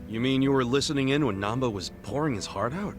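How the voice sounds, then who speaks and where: An actor playing an earnest, excitable, loyal friend in a video game, with an eager, informal way of talking. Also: male voice, medium pitch, quick pace, music in the background, natural voice. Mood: surprised, curious. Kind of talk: dramatic game cutscene acting.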